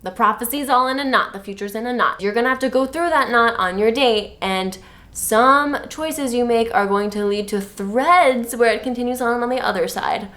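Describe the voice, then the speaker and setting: A woman's voice: a little high, normal speed, a bit bright. A woman co-hosting a casual comedic recap, wry and slangy, paraphrasing characters as mock dialogue. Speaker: podcast co-host.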